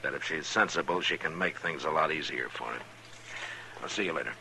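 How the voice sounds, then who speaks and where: An actor playing a steady, firm frontier marshal, with an American accent and a measured, authoritative delivery in a western radio drama. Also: male voice, a bass voice, creaky. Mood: sternly reasoning. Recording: noisy vintage radio recording.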